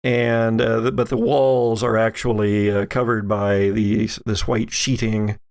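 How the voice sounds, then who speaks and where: Man with a gravelly creak, running a game. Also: on the low side, level tone, a little slow, darkish tone. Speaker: game master. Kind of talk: narrating a tabletop role-playing game.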